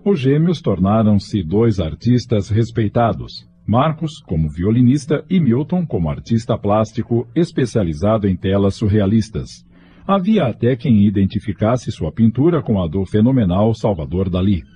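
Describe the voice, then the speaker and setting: A man's voice: fairly low pitch, sing-song, normal speed, mellow, faint music behind. A Brazilian man giving scripted, dramatic narration for a radio soap opera.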